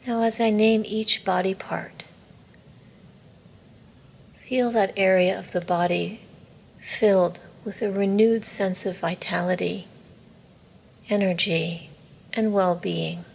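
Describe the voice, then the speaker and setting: A woman teaching a guided meditation in a soothing, measured, instructive way, with soft, breathy phrase endings. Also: mid-range voice, fairly even intonation. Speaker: yoga nidra meditation teacher. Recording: faint background noise.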